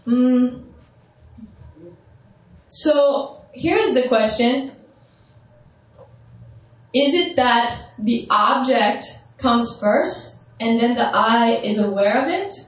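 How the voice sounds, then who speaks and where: A woman's voice: on the high side, animated, very slow, pauses often, distant mic. Woman teacher in an echoey hall. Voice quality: strong, carrying voice. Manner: guides listeners through visualizations, often checking in with "right?". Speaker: spiritual teacher. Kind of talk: meditation teaching talk. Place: large echoey meditation hall. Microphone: speaking without a microphone. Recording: roomy hall echo, voice off-mic.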